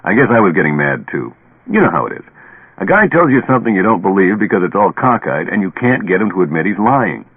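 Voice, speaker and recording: An actor playing a streetwise, sardonic barroom storyteller in colloquial, dramatic radio-drama narration. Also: male voice, sits low, very animated, fast talker, husky, narrow, tinny audio, exaggerated voice. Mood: wry, exasperated. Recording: band-limited old radio recording, echoey.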